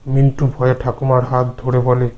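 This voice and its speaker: A man's voice: medium pitch, completely flat delivery, normal speed, highs cut off. A man narrating a scripted horror cartoon story.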